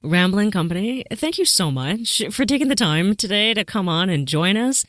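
A woman's voice: medium pitch, normal speed, dry recording, studio quality. A woman hosting a podcast interview, effusive and encouraging as she wraps up and signs off. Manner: warm, effusive hosting, "so exciting". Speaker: podcast host.

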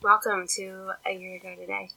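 Bright, giggly woman podcast host. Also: mid-range voice, little pitch movement, a little fast, vocal fry, slight background noise, rough recording. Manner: chatty and giggly, often laughing. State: a little stuffed up, croaky. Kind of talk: casual chat.

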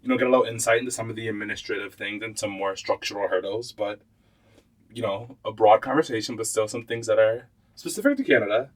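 Friendly podcast host man, lowish voice. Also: fast talker, low-quality recording. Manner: friendly, uses many fillers like "you know" and "like". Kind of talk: casual interview chat.

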